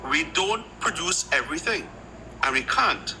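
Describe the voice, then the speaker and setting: A man's voice: sits high, lively intonation, normal speed, pushed and bright, a little hiss or hum, rough recording. A man who calls in to a live chat and explains trade step by step, with many restarts. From Trinidad. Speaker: caller joining the live chat.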